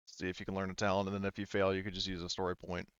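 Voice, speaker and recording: A man's voice: deep voice, monotone, very fast, smooth, no room sound. A man chatting casually as game master after a tabletop game session, informal and freely swearing, on a slightly dull mic.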